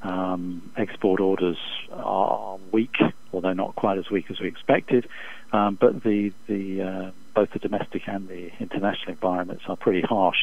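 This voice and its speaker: A man speaking as an expert economist in an interview over the phone, giving measured, formal, halting analytical answers. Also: deep voice, vocal fry. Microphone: telephone. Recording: dull, muffled phone interview line.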